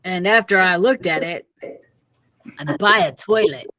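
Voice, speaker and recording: A woman reading the part of a slow-witted, earnest wild-bearded man in a children's story, in a simple, halting manner. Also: fairly low pitch, pushed and bright. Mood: dim, dreamy.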